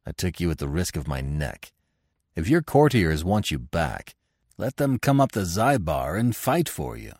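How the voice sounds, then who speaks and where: A man playing a fierce, proud barbarian warrior chief in an audiobook adventure, gruff, growling and sardonic. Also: deep voice, sing-song, measured pace, darkish tone, no room sound, studio quality, exaggerated voice. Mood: grim, roughly ardent.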